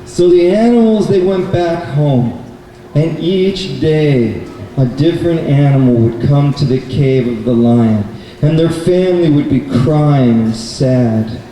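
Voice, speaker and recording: A man telling a children's story at a live puppet show, in simple, deliberate phrases with repeated words. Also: a little high, warm tone. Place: public event space indoors, far from mic. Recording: very echoey noisy hall, distant mic.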